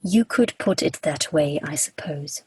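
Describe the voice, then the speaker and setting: A woman acting Death in a radio play as a formal, literal, reserved figure with stiff, measured diction. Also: sits low, darkish tone, slight background noise. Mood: hesitant, reserved.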